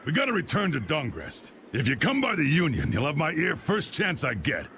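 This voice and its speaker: An actor playing a blustery, hearty old guild boss in a video game, with an American accent and a gravelly, folksy, commanding delivery. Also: male voice, a little high, huge pitch swings, a little fast, noisy background.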